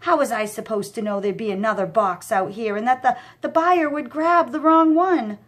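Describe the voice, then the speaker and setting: A woman's voice: fairly high pitch, normal speed, fairly bright tone. A woman voicing a dim-witted, anxious male goon who whines and rambles in a children's story. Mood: whining, panicky. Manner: whining, rambling, defensive. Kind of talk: children's audiobook character voice.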